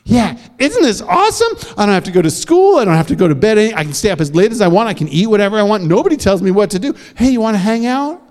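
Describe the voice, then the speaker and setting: A man voicing a scrappy, carefree young ghost girl who boasts excitedly. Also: high-pitched, racing, hardly any pauses, pitched above the performer's own voice. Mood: gleeful.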